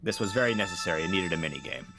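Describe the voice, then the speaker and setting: A man's voice: sits low, lively intonation, rapid-fire, music in the background. A man joking sarcastically over a game dub. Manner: laughing, sarcastic. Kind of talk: joking commentary.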